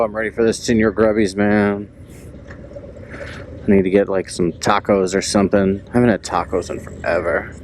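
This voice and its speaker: Man vlogging casually over engine noise. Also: fairly low pitch. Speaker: motorcycle vlogger. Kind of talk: casual narration to camera while out riding. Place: outdoors on a moving motorcycle. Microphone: helmet or action camera mic.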